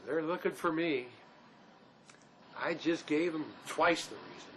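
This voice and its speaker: An actor playing a remorseful escaped convict who questions himself haltingly in naturalistic drama, recorded on location. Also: male voice. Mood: resigned. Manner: searching, self-questioning, halting. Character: escaped convict, brooding, remorseful man wrestling with guilt. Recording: noisy location sound with steady ambient rumble.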